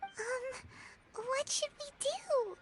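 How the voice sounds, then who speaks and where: A woman voicing a small, perky, chatty childlike fairy companion with a squeaky voice in a video game. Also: expressive, very slow, heavy background noise, exaggerated voice. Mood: flustered. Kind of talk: video game character dialogue. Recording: background music under the voice.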